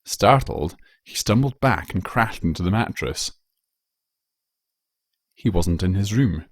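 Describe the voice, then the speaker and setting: A man reading a scripted story aloud as narrator, in a measured, dramatic storytelling manner. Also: deep voice, expressive, no echo, clean studio sound. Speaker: narrator, fan fiction reader.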